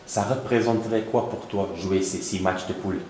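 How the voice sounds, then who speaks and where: A man's voice: on the low side, fairly even intonation, moderate pace, darkish tone. A man asking questions as a sports journalist in an informal interview. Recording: echoey room, faint background noise.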